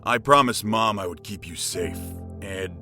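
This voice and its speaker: Man acting a deep, tense protective older brother. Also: measured pace, bright, forceful tone. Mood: angry. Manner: stern, pained, emotional. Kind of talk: dramatic audio drama acting. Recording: music under the voice.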